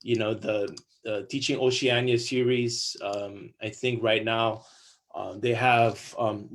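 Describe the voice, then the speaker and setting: A man from Guam giving an enthusiastic panel presentation over a video call, listing example after example with occasional fillers. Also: mid-range voice. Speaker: guest speaker on curricula.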